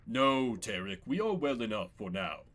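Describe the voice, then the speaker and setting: A man voicing a courteous, fatherly older male leader in a fantasy game, speaking formally and with measured eloquence. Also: mid-range voice, very animated, fairly bright tone. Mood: fond.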